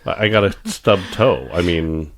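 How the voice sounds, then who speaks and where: Very deep-voiced rambling man. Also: a little slow, slight background noise. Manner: rambling, repeats words and restarts, animated when retelling doctors. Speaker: podcast co-host. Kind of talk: casual chat.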